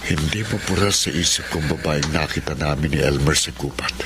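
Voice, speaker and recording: Deep-voiced brooding traveller. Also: male voice, fairly quick. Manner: brooding, hesitant. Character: troubled, smitten male traveller narrating his haunting encounter. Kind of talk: radio drama dialogue and dramatic narration. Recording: loud ambient noise behind.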